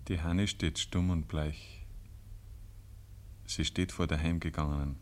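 A man's voice: very deep voice, darkish tone. A man with a Bavarian accent narrating a rural tale in a literary radio play. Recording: 1950s radio recording.

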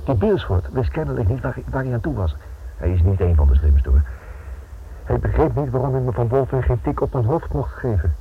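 A man's voice: medium pitch, big pitch swings, a little fast. A man acting in a radio play as a flustered but stubborn novelist turned amateur detective, hesitant and stammering, on the defensive. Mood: earnest, flustered. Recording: tinny, band-limited old radio recording with noise.

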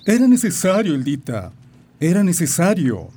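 A man's voice: on the high side, huge pitch swings, moderate pace, dark tone. A man playing a firm, reassuring father and railway worker in a radio drama. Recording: noisy, clinking dishes and clicks.

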